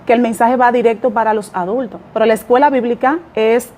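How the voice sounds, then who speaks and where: A woman's voice: a little high, steady tone, a little fast. Dominican woman pastor telling her testimony. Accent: Dominican Spanish. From Dominican Republic. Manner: warm, humble storytelling with light laughs and fillers. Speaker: pastor and guest. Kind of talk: testimony in a podcast interview.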